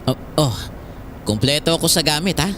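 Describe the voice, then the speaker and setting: A man's voice: on the high side, expressive, normal speed, natural voice. Man acting a young male student, Filipino accent, easygoing, teasing, conversational drama style, noticeable background noise. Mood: playful, teasing. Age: young adult. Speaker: voice actor. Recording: clearly noisy home-style recording.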